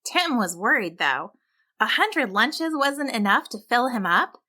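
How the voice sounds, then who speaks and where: A woman reading a scripted children's story as a storyteller, with an expressive, deliberate delivery. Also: a little high. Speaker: storyteller, podcast host.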